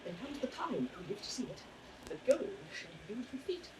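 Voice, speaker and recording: An actor playing a sly, mocking court fool, chanting riddles in a sing-song classical stage manner. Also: male voice, very high voice, very animated, brisk. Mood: mocking prophecy. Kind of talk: classical Shakespearean audio drama acting. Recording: noisy vintage recording, distant from mic.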